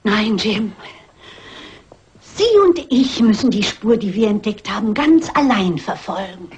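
An actress playing a shrewd, stubborn elderly lady detective in a German crime radio drama, brisk and precise. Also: medium pitch, very animated, slightly rough, full character voice. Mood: shrewd, confident. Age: older. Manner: brisk, self-assured, precise.

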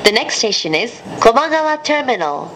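A woman reading formal, carefully measured automated announcements over a train's speaker. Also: big pitch swings. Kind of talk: scripted public transit announcement. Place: inside a moving train carriage. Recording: loud train rumble over onboard speaker. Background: train running noise.

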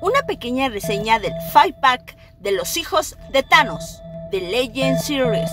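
A woman co-hosts a show opening with a cheerful greeting. Her voice sits high and swings widely up and down. Loud music plays behind her. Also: sing-song, measured pace. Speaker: co-host.

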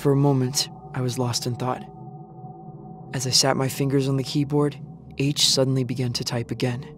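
A man performs a young survivor telling his own story in an audio drama. The delivery is sombre and measured, medium in pitch with little variation, and music plays clearly underneath. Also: little pitch movement, close to an everyday voice. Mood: brooding. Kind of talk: scripted first-person drama narration. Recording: music bed behind narration.

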